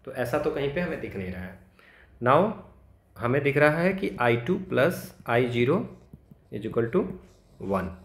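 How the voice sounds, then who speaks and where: Slow, gravelly-voiced math tutor. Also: male voice, mid-range voice, big pitch swings, roomy sound. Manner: mixes Hindi and English, thinks aloud, corrects himself. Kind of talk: solving exam problems aloud.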